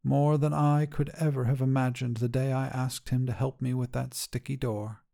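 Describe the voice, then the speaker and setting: A man's voice: mid-range voice, level tone, moderate pace, creaky, mellow, close to an everyday voice. A man voicing an elderly widow in a short story, a tender, reflective grandmother who speaks earnestly and wistfully. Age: older. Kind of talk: audio short-story dialogue.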